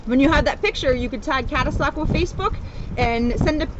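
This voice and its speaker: A woman's voice: high-pitched, fairly quick. A woman, a police officer co-hosting a children's reading, casually addressing young listeners and families. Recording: dull lo-fi sound, loud outdoor traffic noise.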